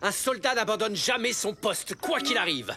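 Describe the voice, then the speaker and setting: An actor playing an arrogant, strict young army captain in a cartoon, barking commands with contempt. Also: male voice, sits high, expressive, normal speed, over music. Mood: stern. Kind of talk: animated series dubbing.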